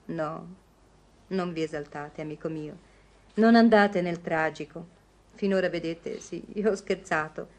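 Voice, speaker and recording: A woman's voice: on the low side, darkish tone, natural voice. An actress playing a worldly, kind-hearted, teasing lady in a theatrical vintage Italian radio comedy. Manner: theatrical, playful.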